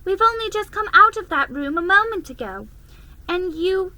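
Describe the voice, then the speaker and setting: A woman voicing a sensible older girl in a storybook reading. The girl speaks in a brisk, impatient way. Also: extremely high pitch, animated, sharp tone, voice pushed up, full character voice, low-quality recording.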